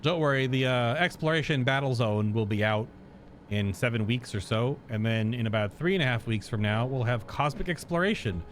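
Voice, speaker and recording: A man's voice: mid-range voice, a little fast, a little hiss or hum. A man giving casual, informal reaction commentary as a game streamer, joking and swearing freely.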